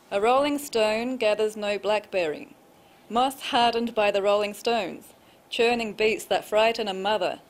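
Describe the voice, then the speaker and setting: A woman reading her own poetry, with casual introductions between pieces. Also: medium pitch. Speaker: poet reading own work.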